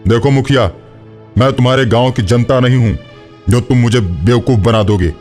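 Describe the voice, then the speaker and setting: A man's voice: medium pitch, moderate pace, a little mellow, soft background music, lightly acted. A man playing an authoritative, menacing, suspicious police inspector in a cartoon horror story. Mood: accusing, threatening. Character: stern, intimidating male village police inspector, threatening and suspicious. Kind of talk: Hindi animated horror story acting.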